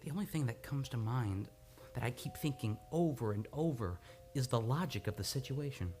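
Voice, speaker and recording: A man's voice: medium pitch, expressive, moderate pace, darkish tone, close to an everyday voice. A man acting in an old-time radio drama as an earnest, anguished amnesiac on the run. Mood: anguished, confused. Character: troubled, lovelorn amnesiac man fleeing a murder charge. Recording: live radio play with music behind.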